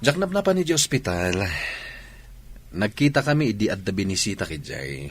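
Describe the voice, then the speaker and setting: A man's voice: lowish voice, very animated, normal speed. A man playing an easygoing, affectionate boyfriend in an Ilocano audio drama, with an Ilocano accent. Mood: casual.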